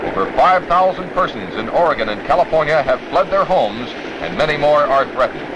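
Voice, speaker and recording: American newsreel announcer, high-pitched man, noisy soundtrack. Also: animated, fairly quick, dull audio. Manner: formal, dramatic announcer delivery. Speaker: newsreel narrator. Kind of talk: scripted 1960s newsreel narration.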